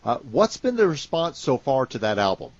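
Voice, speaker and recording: A man hosting a podcast, putting interview questions to his guests in a clear, formal way. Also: mid-range voice, very animated, normal speed, no room sound. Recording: dry, dull lo-fi sound.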